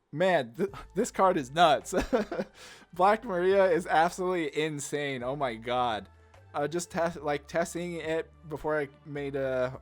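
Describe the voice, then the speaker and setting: A man giving casual, enthusiastic card game commentary as a channel host, talking in a rambling way peppered with "like". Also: mid-range voice. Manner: rambling, enthusiastic, heavy use of "like".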